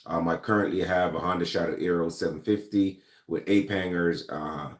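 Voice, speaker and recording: A man's voice: low-pitched, fairly even intonation, normal speed, echoey room. A man from Jamaica with a Jamaican accent, hosting a casual livestream, greeting viewers by name with many ums. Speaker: host of a gun-talk livestream. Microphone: laptop or camera mic.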